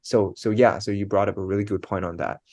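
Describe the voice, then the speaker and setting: A young man interviews a classmate, his questions loose and hesitant with "um" and "you know". His voice sits a little low, recorded dry and close. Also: steady tone, fast, darkish tone, dry recording. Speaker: student interviewer. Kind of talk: casual research interview.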